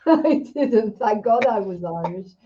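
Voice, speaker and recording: A woman's voice: medium pitch, animated, slow, mellow. A woman raised in Israel hosting an interview over a video call, talking in an emphatic, rambling, heartfelt way. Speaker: host. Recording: dull lo-fi video call audio.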